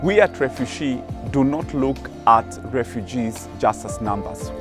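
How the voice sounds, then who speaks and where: A man's voice: mid-range voice, lively intonation, over music. Earnest man explaining his charity's work. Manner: earnest, measured, with fillers. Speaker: charity program staff. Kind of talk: interview soundbites.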